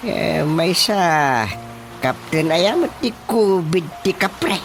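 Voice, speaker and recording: A man acting in an Ilocano radio drama as a village watchman spooked by spirits, talking in a drawn-out, halting way. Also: sits high, animated, heavily acted. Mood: uneasy. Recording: music playing behind the voice. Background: prominent background music.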